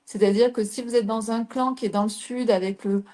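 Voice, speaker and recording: A woman's voice: a little high, steady tone, a little fast, warm tone. A middle-aged woman from southern France, a coach giving a live talk and putting rhetorical questions to her listeners. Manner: explains with rhetorical questions to the audience.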